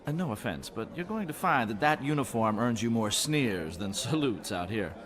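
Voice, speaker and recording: An actor playing a wry, worldly, roguish businessman and gambler in an audio drama, smooth-talking and unhurried. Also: mid-range voice, lively intonation, natural voice. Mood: wry, knowing. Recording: crowd murmur behind the voice.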